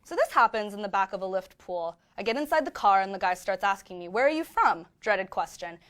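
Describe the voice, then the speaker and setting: A woman's voice: mid-range voice, animated, a little fast, fairly bright tone. A young woman tells an anecdote to camera with wry, dry timing. Her voice sits in a middle range. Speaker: interviewee.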